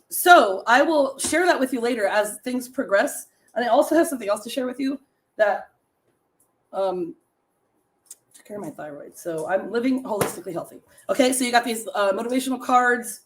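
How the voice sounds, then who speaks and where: A middle-aged woman with a raspy voice hosting a casual live stream, chatting with viewers and reading trivia and short stories aloud. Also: on the high side. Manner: chatty, laughs often, reads facts and stories to viewers.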